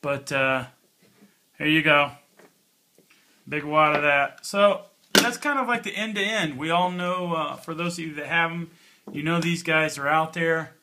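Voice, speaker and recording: A man with a slightly high, bright voice walks through a product like a hobbyist reviewer. His delivery is informal and plainspoken, with a folksy, hands-on feel. The tone has a forceful edge. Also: a little slow, slight background noise. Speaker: product reviewer, channel host. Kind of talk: casual hands-on gear review.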